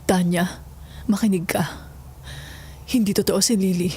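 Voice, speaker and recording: A woman's voice: mid-range voice, steady tone, measured pace, natural voice. An actress playing a concerned but firm mother of a troubled child in an audio drama. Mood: firm, worried. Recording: music under the voice.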